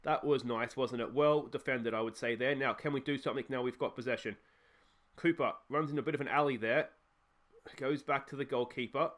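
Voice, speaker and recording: An Australian man hosting a casual football management game let's play, a little croaky from a cold, chatting and reacting excitedly to match highlights. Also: brisk. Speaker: gaming channel host.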